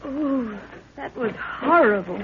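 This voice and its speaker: A woman's voice: high voice, lively intonation, slow. A woman playing an eager, anxious young daughter on an expedition in a 1930s radio serial, questioning and pleading. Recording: thin, tinny, hissy 1930s radio recording.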